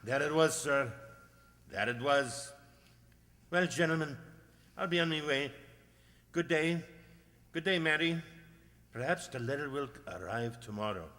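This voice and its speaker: Man playing a bright-toned, solemn village postman. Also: a little high, animated, unhurried, long pauses. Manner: folksy, emphatic, repeats himself. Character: plain-spoken village postman, solemn and folksy. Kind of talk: radio drama acting. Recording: reverberant hall sound.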